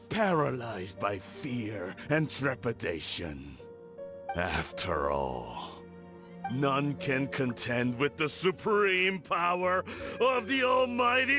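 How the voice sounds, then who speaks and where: Haughty clan master, animated and forceful. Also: male voice, high voice, full character voice. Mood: scornful, grandiose. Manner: pompous, grandiose, theatrical. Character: haughty, scheming older male clan master and official. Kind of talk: dramatic game cutscene acting. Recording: dramatic music behind the voice. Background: game soundtrack music.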